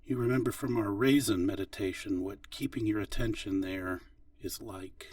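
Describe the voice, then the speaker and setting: A man leading a guided body scan meditation as an instructor, speaking gently and unhurriedly with long pauses. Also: on the low side, no room sound, recorded from a distance.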